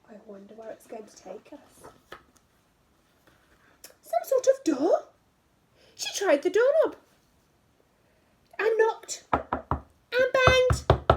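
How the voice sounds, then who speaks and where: Female primary teacher, high and lively, slow storytime read-aloud with hushed asides to young listeners. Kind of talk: expressive picture-book read-aloud for young children.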